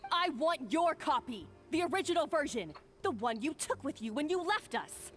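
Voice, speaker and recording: A proud, taunting rival chef. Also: female voice, sits high, sing-song, moderate pace, faint music behind. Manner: taunting, challenging. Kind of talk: game voice acting.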